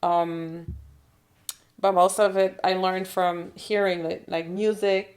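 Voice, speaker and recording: A woman in her thirties speaking English with a German accent, her voice sitting a little low. She talks candidly and at length, dropping in "like" and "you know what I mean" as she goes. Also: level tone, slow pace, a little mellow. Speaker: guest, trader and entrepreneur. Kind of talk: casual podcast interview.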